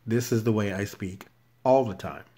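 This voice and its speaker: A man with a very soft, naturally muffled voice, chatting casually to his viewers as a tarot channel host, recorded on a phone at home. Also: on the low side. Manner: quiet, unhurried, never raises his voice. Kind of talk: casual vlog chat to viewers. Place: shared room at home. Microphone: cell phone camera.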